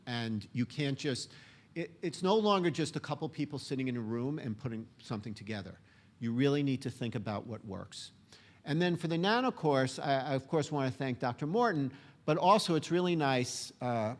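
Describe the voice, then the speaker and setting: A man giving a conference talk in a relaxed, conversational way, with frequent fillers. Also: mid-range voice. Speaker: conference speaker.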